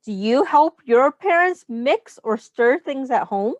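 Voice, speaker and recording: Slow, high-pitched woman reading to young children. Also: lively intonation, no echo. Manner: instructive, pointing out rhymes and addressing young children directly. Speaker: early childhood teacher reading a picture book aloud. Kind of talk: children's read-aloud with simple explanations and questions.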